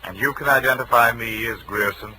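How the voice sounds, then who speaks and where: An actor in a 1940s radio drama playing a condescending, villainous doctor who talks in a patronizing, menacing way. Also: male voice, medium pitch, brisk, sharp tone. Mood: indignant, sneering. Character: smooth-talking, condescending villainous male doctor. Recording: noisy old 1940s radio recording.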